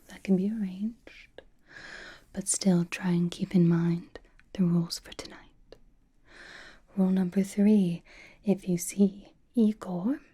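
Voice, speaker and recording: A seductive, possessive vampire, played by a woman for an ASMR roleplay. The voice is a mellow, lowish, breathy whisper that takes its time. It teases intimately and turns dryly exasperated while staying close and doting. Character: possessive, flirtatious vampire doting on captive vampire hunter.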